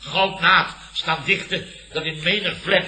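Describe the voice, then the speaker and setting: An actor playing a blustering, pompous middle-aged Dutch company boss in a comic 1970s radio play, grumbling and declaiming theatrically. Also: male voice, high voice, steady tone, measured pace, noisy background. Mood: indignant. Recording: roomy old radio comedy recording.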